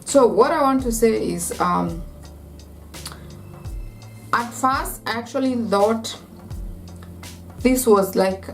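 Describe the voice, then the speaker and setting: A woman's voice: a little high, takes it slowly, creaky, long pauses, faint music behind. A woman with a Kenyan accent ranting to camera as a vlogger, emphatic and repetitive, with lots of "like". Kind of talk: opinion rant to camera.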